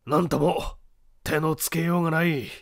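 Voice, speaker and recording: A man voicing a composed, commanding gang leader of gentleman thieves in a storybook reading, curt and self-assured. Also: on the high side, fairly even intonation, moderate pace, creaky, dry recording, clean studio sound. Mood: regretful.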